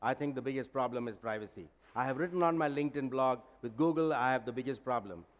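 A man's voice: medium pitch, fast talker, lo-fi sound. A man, speaking directly and emphatically as a conference speaker on stage. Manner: direct, emphatic, gesturing while talking. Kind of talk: conference talk and Q&A. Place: large conference hall stage. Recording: long hall reverb.

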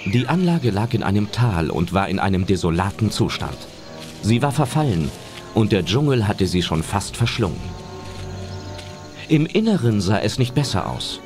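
A man narrating a scripted German audio drama as its storyteller. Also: on the low side.

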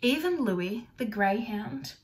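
A young adult woman reading a picture book aloud to children in an expressive storytelling style, recorded indoors on a device about arm's length away. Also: a little high, slow pace. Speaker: storybook reader for primary children. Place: small furnished room indoors.

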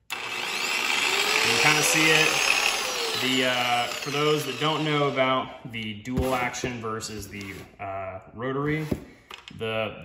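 A man giving a casual hands-on tool review, explaining informally and thinking aloud as he goes, recorded at a garage workbench. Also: mid-range voice. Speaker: product reviewer, hobbyist car detailer. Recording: roomy echo, clear background noise, clicks.

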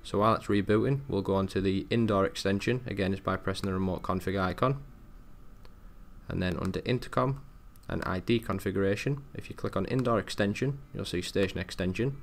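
A man giving an informal, matter-of-fact step-by-step software tutorial as a technical support presenter. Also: low-pitched, fairly quick, creaky.

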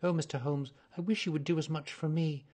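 A man's voice: on the high side, steady tone, a little fast, darkish tone, pitched above the performer's own voice, lightly acted. A man reading the part of a flustered, chatty young woman client who rambles eagerly in a raised voice. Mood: rambling. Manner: eager, rambling, breathless pleading. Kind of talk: audiobook character reading.

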